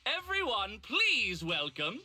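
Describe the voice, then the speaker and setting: A man's voice: high voice, wildly swooping pitch, slow pace, pushed and bright, soft background music, heavily acted. A man voicing an enthusiastic, coaxing, money-minded film director in a video game, theatrical and salesmanlike. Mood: upbeat, coaxing.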